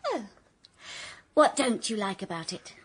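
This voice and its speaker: A woman's voice: on the high side, big pitch swings, a little slow, breathy edge, exaggerated voice. An actress playing a pushy, self-satisfied woman who needles with breezy confidence in a radio comedy. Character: pushy, self-assured woman, the late father's companion.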